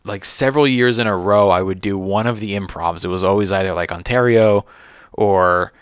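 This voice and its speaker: A man's voice: on the low side, normal speed, clean tone, no echo, clean studio sound. A man in his thirties, a comedian, chatting casually on a podcast in an informal, slangy way. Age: 30s. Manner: informal, slangy, frequent swearing. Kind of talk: casual chat.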